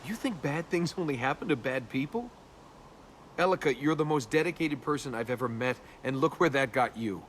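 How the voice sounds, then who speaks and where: A man's voice: mid-range voice, expressive, fairly quick, lightly acted. Guy acting young rogue adventurer for a game, cynical n wry, casual delivery, some background ambience noise. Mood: defiant. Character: cynical, roguish young male adventurer and tomb robber. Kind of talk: naturalistic video game dialogue.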